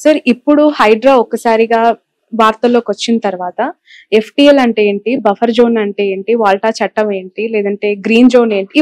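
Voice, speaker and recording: Interviewer, 30s, rapid probing questions, close dry lapel mic. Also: female voice, fairly high pitch, darkish tone, no room sound. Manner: probing, long multi-part questions. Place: office, indoors.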